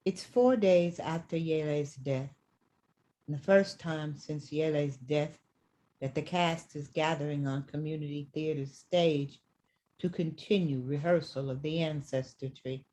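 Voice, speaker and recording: A woman narrates the stage directions of a play from a script. Her voice is lowish and very mellow, and she takes her time over each line. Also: steady tone, a little slow, low-quality recording.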